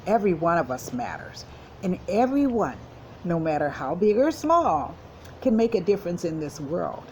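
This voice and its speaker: Animated, lowish-voiced woman reading to children. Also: moderate pace, a little hiss or hum. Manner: instructive, explaining. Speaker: school counselor reading to children. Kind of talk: children's storybook read-aloud with commentary.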